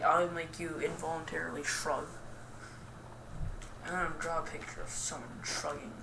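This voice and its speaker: A young woman giving casual, rambling game commentary in a self-deprecating, goofy and halting way. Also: deep voice. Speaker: gaming video host. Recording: roomy, distant mic with clear noise.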